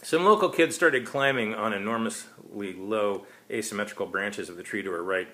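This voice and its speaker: A man's voice: lowish voice, moderate pace, bright, forceful tone. A man reading his own short story aloud as narrator, in a wry, conversational manner.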